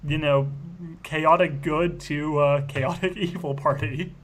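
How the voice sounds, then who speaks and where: A man's voice: fairly high pitch, fairly even intonation, slow pace, fairly bright tone. A man hosting and playing a tabletop roleplaying game, chatting casually in a rambling, stammering, jokey way.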